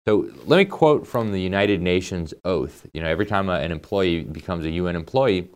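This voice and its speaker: A man's voice: low-pitched, animated, brisk, clear, resonant tone. A man hosting a political commentary show, talking emphatically and conversationally, with fillers and asides. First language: English. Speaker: host.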